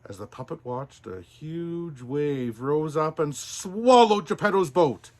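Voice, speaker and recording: A man narrating a children's storybook as Santa, in an expressive, deliberate way. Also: a little high.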